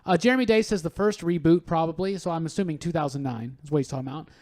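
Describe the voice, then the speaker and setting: A man's voice: on the high side, racing, a little mellow. An American man hosting a casual pop culture podcast, chatting with his panel and addressing listeners. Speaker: podcast host.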